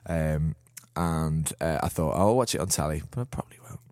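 A man with a Liverpool accent and a cold-worn hoarse voice, chatting casually on a podcast with halting restarts. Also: rumbling low, a little mellow, dry recording, clean studio sound. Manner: halting, lots of restarts and stammers. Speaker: podcast co-host. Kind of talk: casual chat.

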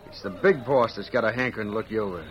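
A man's voice: fairly low pitch, fast talker, fairly bright tone, lightly acted. An American actor playing a bossy, menacing outlaw henchman in a radio western, folksy in wording and barking orders. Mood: menacing, taunting. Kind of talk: old-time radio western acting. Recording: noisy, dull old radio recording.

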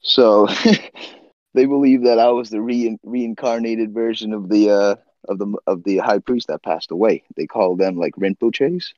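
Podcast guest, man from Nepal, deep voice, easygoing, laughs as he talks, dry lo-fi recording. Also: a little slow, darkish tone, no echo. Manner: laid-back, laughs often, trails off with "uh" and "you know". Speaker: guest, swimbait builder. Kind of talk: casual storytelling interview.

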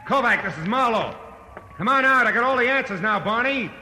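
A man's voice: extremely high pitch, lively intonation, brisk, pushed and bright, full character voice. A man playing a tough, wry American private detective in a 1940s-style radio drama, clipped and wisecracking. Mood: urgent, shouting. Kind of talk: 1940s radio drama acting and noir narration.

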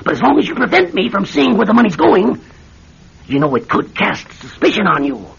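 An actor playing a pompous, bullying senior senator with a raspy, blustering delivery in a classic radio drama. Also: male voice, high-pitched, huge pitch swings, a little fast, a bit bright, a little hiss or hum, exaggerated voice. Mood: insistent. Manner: blustering, imperious. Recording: dull old radio drama recording.

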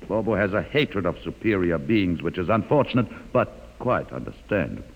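Brief: low, husky, gravelly mutant chief, learned and dryly ironic, old radio play with long reverb. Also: male voice, sing-song, exaggerated voice. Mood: calm, wry. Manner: measured, ironic, quietly commanding. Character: calm, wry, educated male mutant leader. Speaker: mutant leader. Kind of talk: 1950s radio drama acting.

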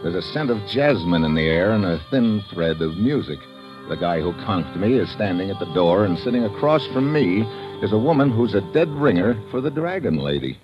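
A man's voice: lowish voice, very animated, normal speed. An actor playing a wisecracking, sardonic American adventurer who narrates his own story in a mid-century radio drama. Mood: tense, wry narration. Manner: sardonic, slangy. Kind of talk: 1940s-50s radio adventure acting, first-person narration. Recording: dull old radio recording with loud music.

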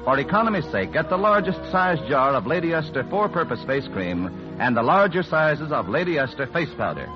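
A man's voice: fairly high pitch, sing-song, normal speed. A man announcing a 1940s radio show from a script in a formal, polished showman's delivery. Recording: dull vintage radio recording, music behind.

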